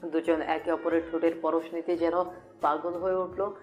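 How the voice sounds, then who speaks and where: A woman's voice: low-pitched, little pitch movement, moderate pace, darkish tone, dull audio. A woman narrator reading a scripted romantic story in the third person. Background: music.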